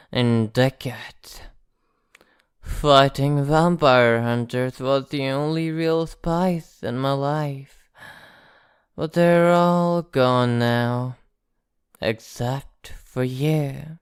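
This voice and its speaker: A man playing an ancient, possessive vampire in an ASMR roleplay, speaking in a theatrical, condescending, teasing and softly threatening way. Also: mid-range voice, steady tone, very slow, clean tone, dry recording, studio quality. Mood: nostalgic, mocking.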